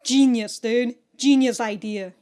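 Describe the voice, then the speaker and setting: A woman's voice: a little high, steady tone, unhurried, warm tone. A woman giving casual, slangy reaction commentary as a video host, exclaiming and swearing freely.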